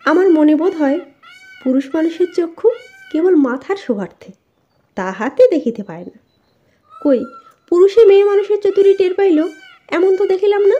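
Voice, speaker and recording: A woman's voice: extremely high pitch, very animated, normal speed, long pauses, mellow. A woman reading a bold, teasing palace maidservant in an audiobook, glib and matter-of-fact. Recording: dull, lo-fi voice recording.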